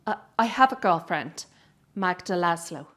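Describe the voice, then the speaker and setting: A woman's voice: on the low side, lively intonation, a little slow, fairly bright tone. An actress playing a proud, direct young woman, a granddaughter with a mischievous streak, in a radio drama. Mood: nervous, earnest. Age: young adult. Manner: earnest, direct. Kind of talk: naturalistic radio drama acting.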